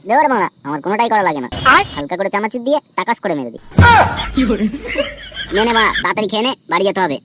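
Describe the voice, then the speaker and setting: A man voicing a lazy, scheming, hard-drinking cartoon son, cheeky and grumbling, in a pitched-up voice. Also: animated, rapid-fire, long pauses, very noisy. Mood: evasive. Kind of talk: crude comedy cartoon dialogue. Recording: loud music behind the voice.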